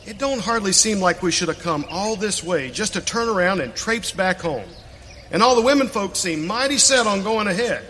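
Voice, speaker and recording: A plain-spoken frontier buffalo hunter talks in rustic western style, high and bright. It's a radio play in a reverberant hall. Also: male voice, animated, normal speed. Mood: folksy, musing. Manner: folksy rustic western talk. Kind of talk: live western radio theater acting. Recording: reverberant hall, faint noise.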